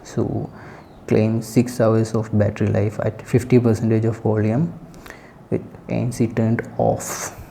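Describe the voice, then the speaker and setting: A man giving a casual spoken product review in halting short phrases with pauses. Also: lowish voice, flat delivery, gravelly, mellow, a little hiss or hum. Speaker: tech reviewer.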